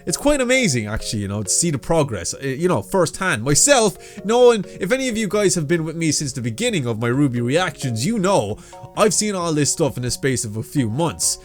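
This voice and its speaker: A man's voice: a little high, sing-song, a little fast, soft background music. A man hosting a reaction video, chatting casually and informally to his viewers, whom he treats as friends. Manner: informal, addresses viewers as dudes.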